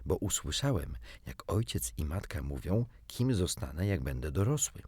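A man's voice: sits low, very animated, normal speed, darkish tone, husky, dry recording, exaggerated voice. A cocky storybook boy, acted out by a man reader, boastful and childlike. His delivery swings widely and every word is cleanly recorded, close and dry. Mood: earnest. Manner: gleeful crowing, offhand.

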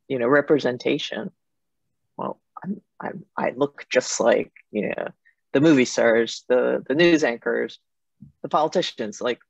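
Creaky-voiced middle-aged woman on video call. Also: extremely low pitch, a little mellow, no echo. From Japan, with years in Germany and Italy. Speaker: interview guest, foundation president. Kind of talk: conversational interview answers, personal storytelling. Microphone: computer mic on a video call. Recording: dull video-call audio.

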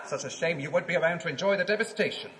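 A man's voice: a little high, lively intonation, rapid-fire, bright, forceful tone, slight background noise. A man acting a mocking, menacing villain in an audio drama, with a sneering, taunting manner. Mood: gloating. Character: gloating male villain, menacing, mocking renegade.

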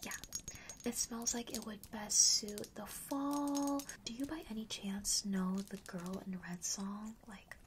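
A soft, breathy whisper comes from right at the microphone, with clear noise behind it. It is a friendly young witch running a perfume shop, acted by a woman for an ASMR roleplay. She coaxes and reassures gently, in casual, slangy phrasing. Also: mid-range voice, steady tone, slow, natural voice. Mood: gently coaxing. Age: young adult. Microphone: close large studio microphone.

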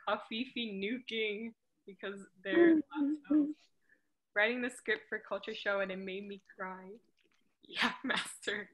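A woman's voice: medium pitch, fairly bright tone, rough recording. A young woman casually presenting and reading introductions on a video call. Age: young adult. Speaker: presenter at an online graduation.

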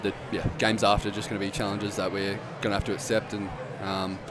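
A young Australian man, a footballer giving low-key post-match answers on a headset mic. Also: little pitch movement, fast talker. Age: young adult. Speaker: footballer guest. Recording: noisy room behind.

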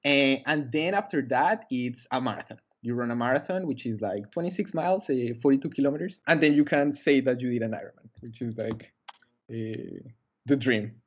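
A man's voice: mid-range voice, moderate pace, breathy edge, highs cut off. A young man from Colombia, a guest on a video-call interview, talking earnestly with many fillers and restarts. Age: young adult. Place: small room indoors. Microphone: headset on a video call.

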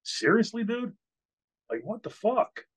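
Husky-voiced older man telling a story. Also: high voice, very animated, measured pace. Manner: candid, outraged, many fillers and restarts. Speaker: podcast host, former wrestler. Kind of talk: storytelling in an interview. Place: hotel room, indoors. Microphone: video call, webcam.

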